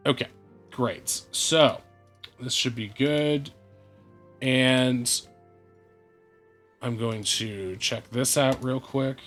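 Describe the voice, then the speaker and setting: A man's voice: mid-range voice, fairly bright tone. Hesitant male game master, faint music behind. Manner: measured, with many hesitant fillers. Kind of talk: running an online tabletop game.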